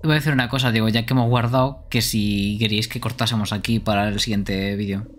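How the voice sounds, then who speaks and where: A man's voice: fairly low pitch, steady tone, quick pace, a little hiss or hum. A man hosting a game livestream in casual chat. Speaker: streamer, host.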